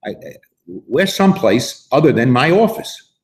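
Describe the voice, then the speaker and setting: A man reading a storybook aloud to children in an animated way, putting questions to his listeners in a gently instructive manner. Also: mid-range voice, a little slow. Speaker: storybook reader and host.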